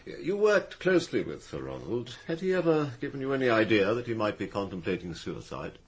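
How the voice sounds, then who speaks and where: A man questioning witnesses in a British radio drama. He is formal and probing, with a fairly low voice that rises and falls animatedly. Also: sing-song, brisk. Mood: probing, measured.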